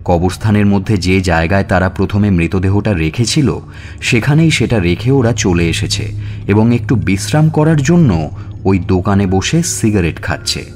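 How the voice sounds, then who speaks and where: Low-voiced man narrating suspense. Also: lively intonation, normal speed, a little mellow, slight background noise. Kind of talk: dramatic scripted story narration. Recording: music bed under narration.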